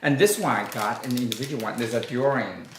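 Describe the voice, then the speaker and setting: A middle-aged man from northern China hosts a relaxed conversation. He speaks with a Mandarin Chinese accent, halting and filling pauses with uh. The room around him rings with echo. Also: mid-range voice, over a noisy crowd. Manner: halting, many fillers. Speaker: host. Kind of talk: casual chat.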